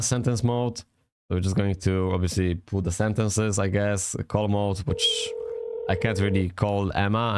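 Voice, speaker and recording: Deep-voiced Polish man rambling casually. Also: darkish tone, dry recording, clean studio sound. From Poland. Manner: swears often, rambling and self-correcting, chuckles. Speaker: vlogger reviewing a language app.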